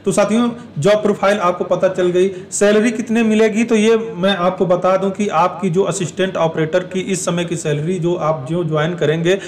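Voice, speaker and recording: A man's voice: high-pitched, fairly even intonation, moderate pace, few pauses, far from the mic. A man giving an explanatory talk to exam aspirants, repeating and rephrasing his points as he goes. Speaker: exam information presenter.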